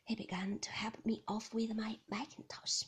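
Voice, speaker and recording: Breathy whispering woman reading a novel. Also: a little high, level tone. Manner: hushed, slow and intimate. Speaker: narrator. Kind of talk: whispered ASMR audiobook reading.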